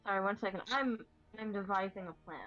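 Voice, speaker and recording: A woman's voice: medium pitch, fast, a bit bright, no room sound. Woman player at a game table, unsure and asking stuff, muffled mic, faint music. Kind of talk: casual game-table chat.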